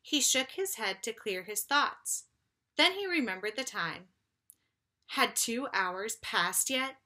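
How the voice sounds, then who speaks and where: A woman reads a story to children as a teacher would, phrasing it deliberately. Her voice is bright and fairly high, with very animated pitch and an unhurried pace. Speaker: teacher reading aloud. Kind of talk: expressive children's story read-aloud.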